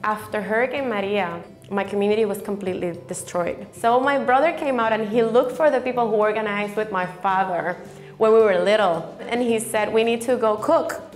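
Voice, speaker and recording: A woman's voice: mid-range voice, brisk, faint music behind. A woman with a Puerto Rican accent, a native Spanish speaker, giving personal testimony for a campaign. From Puerto Rico. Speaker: city council candidate, former teacher.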